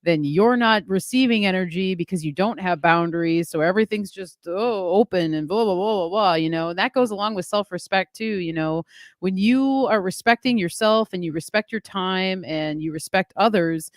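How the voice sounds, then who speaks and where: A woman's voice: on the low side, normal speed, no echo, studio quality. A woman hosting a podcast, talking casually with lots of ums and likes. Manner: rambling, many ums and likes. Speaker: podcast host. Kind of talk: casual spiritual podcast chat.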